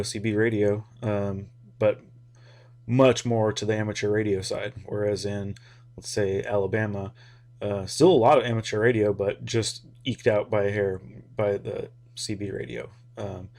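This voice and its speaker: A man's voice: fairly low pitch, gravelly, a little mellow. An American man giving a casual, rambling explainer in an informal, hesitant way, as if thinking aloud. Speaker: hobby radio video host.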